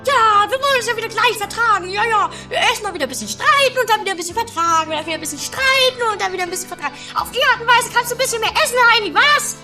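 Snippy, nagging sister with an extremely high voice woman acting. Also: animated, rapid-fire, hardly any pauses, pushed and bright, faint music behind, heavily acted. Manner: snippy, theatrical. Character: anarchic, nagging woman, the other bickering sister. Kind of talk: amateur comedy radio play acting.

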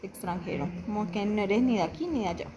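A young woman from Venezuela, answering interview questions about her life in a reflective, halting way. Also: medium pitch, dark tone, a little hiss or hum, low-quality recording. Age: young adult. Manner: reflective, halting, with frequent restarts. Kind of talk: personal testimony interview.

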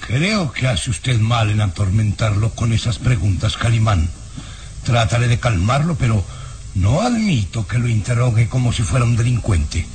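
An actor playing a scheming, domineering villainous baron in a radio drama, with imperious, conspiratorial delivery. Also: male voice, medium pitch, animated, moderate pace, a little mellow, recorded from a distance, close to an everyday voice. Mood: formal, protective. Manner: imperious, conspiratorial, hissed orders. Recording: noisy old radio drama recording.

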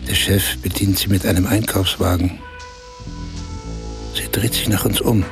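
Working man storyteller, deep male voice, very slow, short deliberate phrases, gravelly, radio play. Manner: deliberate, short clipped phrases, savouring details.

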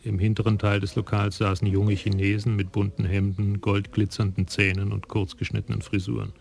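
A man narrating a crime radio play in an even, measured way. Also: fairly low pitch, creaky.

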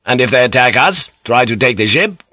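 A man's voice: medium pitch, expressive, a little fast, sharp tone, no echo, clean studio sound, pitched above the performer's own voice. A man voicing an enraged, proud captain general of a warship, snarling and barking orders in an audiobook story. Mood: challenging.

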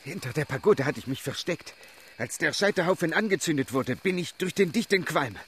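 An actor playing a plucky, cheeky, good-hearted French valet in a radio drama, with a breezy, colloquial manner. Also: male voice, on the high side, animated, a little fast, a little hiss or hum, lightly acted. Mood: excited.

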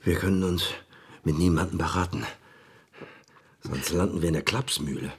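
An actor in a radio drama playing an agitated, insistent man who argues wild theories with deliberate, dramatic phrasing. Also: deep voice, slightly rough, exaggerated voice. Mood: tense, brooding.

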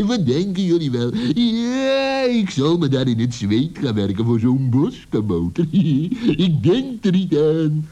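A man's voice: on the high side, big pitch swings, drawn-out words, hardly any pauses, mellow, exaggerated voice. An actor playing a scornful, mocking male fox in a children's radio play. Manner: sneering, scornful.

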